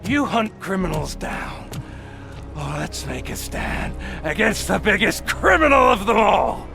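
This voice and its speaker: A man's voice: high voice, big pitch swings, measured pace, creaky, over music, exaggerated voice. A male actor playing an arrogant, scheming, volatile villain in a video game cutscene, with a theatrical, taunting delivery. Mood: urgent, threatening. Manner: theatrical, taunting, swinging from smug to shouting.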